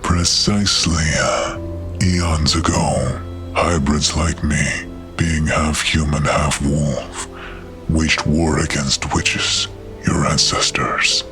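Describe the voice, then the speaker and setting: Very deep, slow, menacing wolf spirit. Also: male voice, fairly even intonation, music in the background, heavily acted. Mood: solemn. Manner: stern, archaic, formal speech. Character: huge ancient cursed half-human wolf spirit, proud and menacing. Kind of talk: fantasy audio drama acting.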